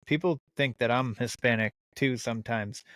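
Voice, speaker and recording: A man's voice: mid-range voice, normal speed, a bit bright, no room sound, studio quality. A man with a North American accent chatting informally and bluntly as a podcast host. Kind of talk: casual chat.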